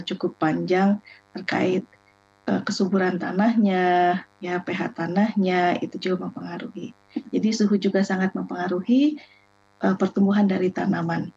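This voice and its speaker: A woman answering the host as a guest expert, measured, with hesitant fillers. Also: lowish voice, dark tone, slightly rough, lo-fi sound. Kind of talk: TV talk-show answer.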